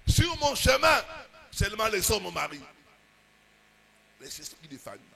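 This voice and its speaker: High, gravelly-voiced preaching pastor. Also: male voice, very animated, pushed and bright, slight background noise. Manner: preaching with rising, emphatic bursts and drawn-out pauses. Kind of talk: sermon.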